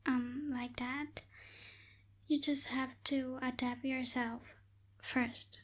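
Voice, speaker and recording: Woman acting as aloof tsundere witch, high soft voice, very slow, ASMR roleplay. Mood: explaining, businesslike. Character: aloof tsundere night witch, lazy, businesslike young woman. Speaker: voice actor in audio roleplay.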